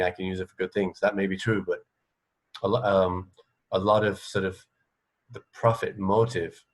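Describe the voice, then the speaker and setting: Thoughtful man with a lowish voice. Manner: hesitant, self-correcting, thoughtful. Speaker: radio interviewer. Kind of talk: conversational interview.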